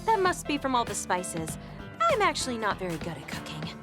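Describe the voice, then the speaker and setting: A woman playing a sweet, guileless young woman in a video game, speaking gently and politely. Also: a little high, wildly swooping pitch, a little fast. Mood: cheerful, eager. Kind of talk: English video game voice acting. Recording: faint music behind the voice.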